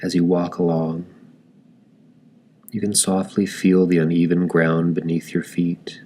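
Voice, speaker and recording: A man leading a guided meditation in calm, gentle scripted narration, with deliberate phrasing and long pauses. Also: low-pitched, a little hiss or hum.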